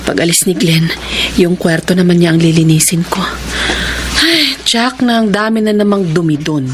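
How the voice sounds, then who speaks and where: An actress with a Filipino accent playing a weary, fussy young housewife in a radio drama, expressive and given to complaining. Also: female voice, on the low side, moderate pace, a little hiss or hum, natural voice. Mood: grumbling.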